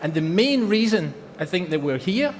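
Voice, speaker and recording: A man's voice: high voice, big pitch swings, moderate pace, big-room sound, a little hiss or hum. A Scottish man giving an earnest presentation at a trade fair, repeating words for emphasis. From Scotland. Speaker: company presenter. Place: large exhibition hall.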